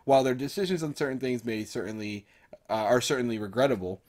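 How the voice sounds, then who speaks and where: A man's voice: medium pitch, fast, a little mellow. A man co-hosting a casual gaming podcast, talking in a hedging, repetitive way as he argues his points. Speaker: podcast co-host.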